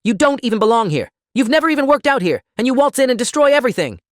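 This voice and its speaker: A man voicing a desperate, self-pitying gym bully who rants and accuses loudly in an audiobook story. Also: on the high side, expressive, fast talker, no echo, clean studio sound, pitched above the performer's own voice, lightly acted. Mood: screaming fury. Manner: ranting, accusatory, loud.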